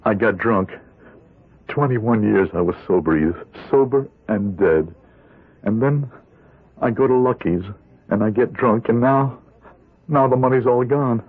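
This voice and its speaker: An actor playing a weary, pleading older ex-convict in a vintage radio drama, with a husky voice. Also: male voice, mid-range voice, unhurried, pauses often. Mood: bitter, despairing. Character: weary gray-haired ex-convict, broken and pleading. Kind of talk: 1970s radio drama acting. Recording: tinny, band-limited old radio broadcast.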